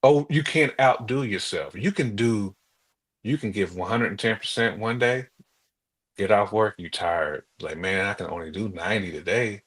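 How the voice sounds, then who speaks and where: An American man co-hosting a podcast in casual conversation, telling things in an animated, colloquial way with imagined quotes. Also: lowish voice, moderate pace, husky. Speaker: podcast co-host.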